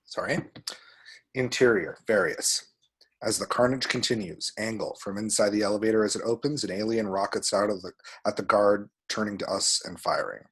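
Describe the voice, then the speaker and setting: Husky, lower-voiced man reading screenplay action. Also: normal speed, fairly bright tone. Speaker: table-read action narrator.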